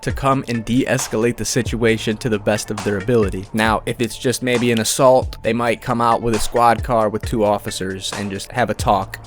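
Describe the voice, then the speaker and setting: A man giving casual, conversational commentary on video game rumors as a gaming content creator. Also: mid-range voice. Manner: informal, conversational, speculative. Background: background music.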